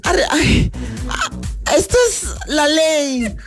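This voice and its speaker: A man putting on a flustered, effeminate young army recruit who talks in a high, fluttery way. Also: expressive, very slow, faint music behind, heavily acted.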